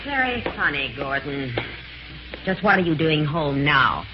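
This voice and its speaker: A woman's voice: low voice, big pitch swings, measured pace. An emotional, insistent wife in a 1940s-style radio mystery. Her voice swings widely and dramatically, on muffled old audio with faint hiss. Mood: icy sarcasm. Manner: urgent, dramatic. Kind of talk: old-time American radio mystery acting.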